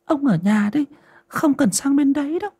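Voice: sits high, lively intonation, moderate pace, mellow, pitched above the performer's own voice. A narrator voicing an anxious, bossy elderly village wife with fretful, folksy, stammering speech in an audio story. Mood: worried, bossy.

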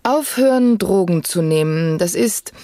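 A woman's voice: lowish voice, lively intonation, measured pace, mellow, no echo. An actress playing an imperious, pedantic woman doctor in a satirical radio play, clinically matter-of-fact and self-important. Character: domineering woman doctor, self-important motorbike-riding expert.

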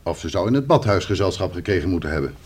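An actor playing a talkative, matter-of-fact, slightly defensive police officer in a Dutch radio play. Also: male voice, deep voice, lively intonation, very fast, darkish tone, a little hiss or hum. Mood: matter-of-fact reporting.

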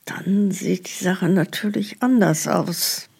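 Slow, husky elderly woman, hesitant. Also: mid-range voice, a little mellow, exaggerated voice. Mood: doubtful, puzzled. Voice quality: husky, creaky. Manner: slow, hesitant, naively questioning. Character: hesitant, gullible elderly woman living in a care home. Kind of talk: naturalistic radio drama acting.

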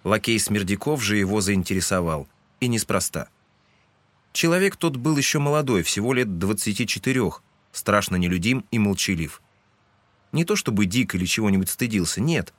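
A man's voice: on the low side, lively intonation, normal speed, dry recording. A man narrating a literary audio drama in a scripted, dramatic storytelling style.

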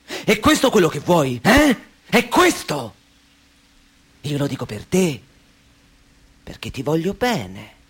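An anxious older brother in a radio play. His voice is husky and swings widely in pitch as he presses for answers. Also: big pitch swings, slow pace, long pauses, a bit bright, exaggerated voice. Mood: pressing, upset. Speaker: actor in a radio drama.

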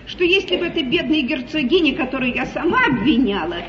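A woman's voice: sits high, animated, fairly quick, a bit bright. An actress playing an imperious but easily flustered English queen in a theatrical stage comedy, grand and plaintive. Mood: gossipy, self-justifying. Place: theatre stage, before an audience. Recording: noisy, echoey, dull 1950s theatre recording.

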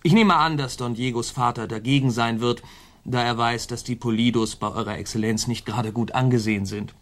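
A man acts a scheming male officer in a radio play. He speaks at a middle pitch, deferential on the surface and sly underneath, insinuating as he reports to his superior. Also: fairly even intonation, brisk, fairly bright tone, natural voice. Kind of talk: German radio drama acting.

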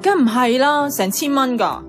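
A woman's voice: high-pitched, animated, moderate pace. An actress playing a blunt, bossy young career woman in a radio drama, with a colloquial Hong Kong Cantonese way of speaking. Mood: bossy, grumbling. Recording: clear music playing behind the voice.

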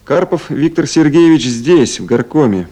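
An actor playing a quiet, laconic and courteous party committee official in a radio drama. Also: male voice, fairly high pitch, lively intonation, moderate pace. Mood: businesslike. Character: restrained, reflective male city party committee official. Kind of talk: 1970s Soviet radio drama acting.

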